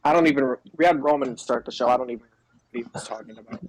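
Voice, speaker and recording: A man's voice of average pitch, with hardly any room sound around it. He chats casually as a co-host recapping wrestling on a podcast. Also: racing, no room sound, rough recording.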